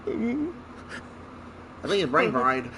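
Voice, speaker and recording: Man, let's-play commentary, very slow pace, lively pitch, informal, blunt, short interjections. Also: noisy background. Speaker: gamer playing and reacting.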